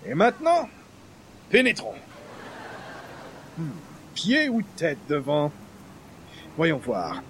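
A man's voice: on the high side, wildly swooping pitch, slow pace, long pauses, fairly bright tone, slight background noise, exaggerated voice. An actor playing a pompous, hapless male columnist with florid, formal wording in a radio comedy. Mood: determined, self-satisfied. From Quebec. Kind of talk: 1940s-style radio comedy acting.

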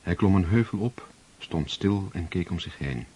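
A man giving measured, scripted literary narration for a radio play. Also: deep voice, animated, dark tone, slightly rough.

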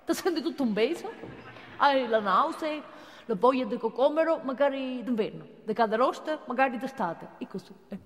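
An actress playing a chatty, folksy working-class gossip in a theatrical radio monologue. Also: female voice, high-pitched, animated, moderate pace. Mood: chatty, amused. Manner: colloquial, with tag questions and exclamations. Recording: long hall reverb of a stage.

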